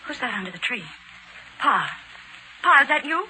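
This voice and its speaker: A woman's voice: high-pitched, very animated, normal speed, pushed and bright, full character voice. An actress playing a naive young working-class city woman in a 1940s radio drama, on a scratchy old recording. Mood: frightened.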